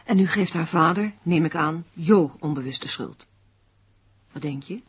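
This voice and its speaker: An actress in a radio play playing a determined, unsentimental amateur sleuth, matter-of-fact and insistent. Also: female voice, low-pitched, measured pace, slightly rough, full character voice. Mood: insistent, suspicious.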